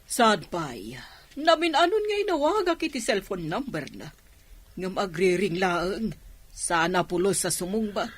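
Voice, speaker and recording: A husky, mid-pitched voice for an Ilocano mother in a radio drama. She sounds anxious and plaintive, like a worried wife. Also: very animated, measured pace. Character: worried mother answering her angry husband.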